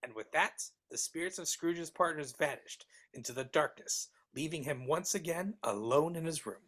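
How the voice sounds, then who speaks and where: Self-assured storyteller for a live reading woman performer, deep register, dryly exasperated with a sidekick. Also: expressive, normal speed. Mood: solemn narrating.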